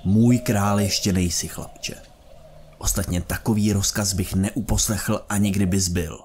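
A man's voice: fairly low pitch, steady tone, a little mellow, slight background noise, natural voice. A man playing a stern, loyal older paladin mentor in a fantasy audio drama, weighty and reproachful. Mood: defiant. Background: music.

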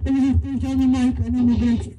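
A man's voice: extremely high pitch, level tone, quick pace, warm tone, poor audio. A young man from Scotland hosting a playful amateur radio show, hesitant and full of ums. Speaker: hobby radio presenter.

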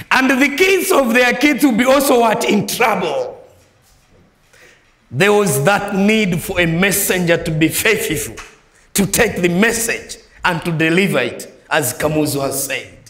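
A middle-aged man from Malawi preaching at a pastors' conference, energetic and emphatic, holding a handheld mic in a large hall. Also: high-pitched. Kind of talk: sermon. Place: large hall with audience, indoors. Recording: echoey hall.

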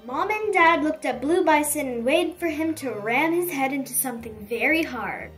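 A woman narrates a picture book for young children in a high, very animated voice. She takes her time, leaning on words expressively. The mic sounds a little distant and soft music plays behind her. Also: normal speed. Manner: expressive, emphatic storytelling. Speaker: children's storybook reader. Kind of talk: dramatic read-aloud narration for young children.

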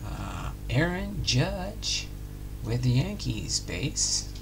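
A middle-aged man calmly calling out each trading card as he opens packs at home. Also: medium pitch, background noise. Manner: unhurried, announcing each card plainly. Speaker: card-breaking channel host. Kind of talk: casual pack-opening commentary. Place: home room with card displays.

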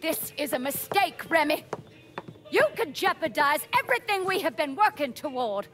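An actress playing a composed, calculating high-society woman in a video game, speaking in a measured, admonishing way. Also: animated, a bit bright, soft background music. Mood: cool, admonishing. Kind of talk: dramatic video game acting.

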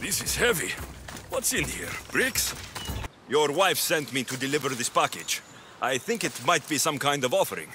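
Wry Greek mercenary, swinging pitch. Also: male voice, big pitch swings, normal speed, background noise. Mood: dry, puzzled. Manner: dry, sarcastic. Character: wry, weary young male mercenary hero of ancient Greece. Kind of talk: video game cutscene acting. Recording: music behind the voice.

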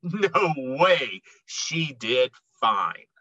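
A middle-aged man reading a children's picture book aloud expressively, with emphatic, dramatic phrasing and drawn-out words. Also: mid-range voice, sing-song, bright, forceful tone, dry recording, dull audio. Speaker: read-aloud storyteller.

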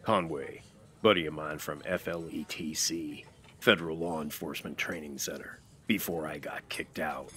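A man playing a world-weary tough guy, narrating a motion comic in a terse, deadpan way. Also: very deep voice, moderate pace, slight background noise. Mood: weary, flat.

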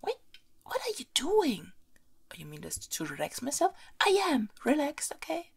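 A woman plays a spoiled, haughty young princess in an intimate ASMR roleplay. Her voice is breathy with a crackly fry and swings widely in pitch. She orders and teases in a soft, imperious way, often dipping into whispers. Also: normal speed, full character voice. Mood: curious, haughty. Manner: playfully bossy, imperious, expressive.

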